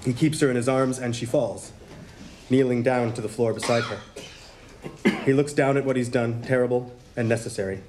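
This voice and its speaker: A man's voice: medium pitch, steady tone, moderate pace, darkish tone. A man narrating script directions in a dramatic reading at a table read. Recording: room with faint noise and crowd.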